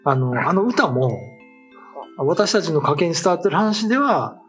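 A middle-aged man tells a tale in casual chat, his voice a bit high and moving widely in pitch. He often relays what others said, and faint music plays behind him. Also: very animated, measured pace, a bit bright. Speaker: writer and show regular telling ghost stories. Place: small meeting room, indoors.

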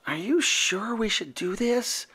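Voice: high voice, wildly swooping pitch, slow, sharp tone, voice pushed up, heavily acted. Storyteller doing a timid little Yeti. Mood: worried whisper. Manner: small and worried. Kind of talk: storybook reading.

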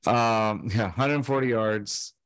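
A man's voice: lowish voice, slow, smooth, dry recording. Rambling man on a dry home mic. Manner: rambling with many fillers and restarts. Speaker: podcast co-host. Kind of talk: casual sports chat. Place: home room, video call. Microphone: studio mic on stand with headset.

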